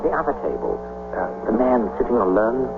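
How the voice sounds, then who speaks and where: A suspicious, fussy gentleman for radio drama. His creaky voice swoops nervously and hesitates, on a thin, tinny recording with clear hum. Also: medium pitch, very animated, fairly quick. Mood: nervous, conspiratorial.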